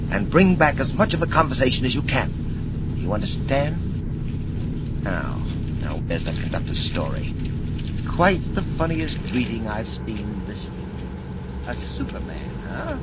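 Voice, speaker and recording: A man playing the Wolf, a scheming villain in a 1940s radio drama, speaking in a smooth, mocking and formal way. The old broadcast plays over road noise. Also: mid-range voice, sing-song, normal speed, natural voice. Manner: smooth, sneering, formal phrasing.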